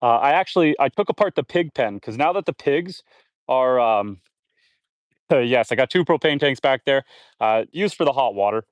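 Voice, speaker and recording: A man on a live stream from his homestead talks in a relaxed, chatty way as he works, stopping to read out viewer comments. He sounds a little nasal and congested. The sound is dry, with no room echo. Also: medium pitch, normal speed. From Canada. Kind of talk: casual live-stream chat while building. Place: outdoors on a homestead.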